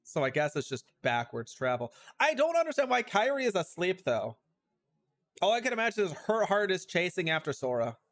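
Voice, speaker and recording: A man's voice: sits high, big pitch swings, fairly quick, a bit bright. A man giving casual livestream commentary on a game, reacting with puzzled asides and repeating his phrases. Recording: music behind the voice.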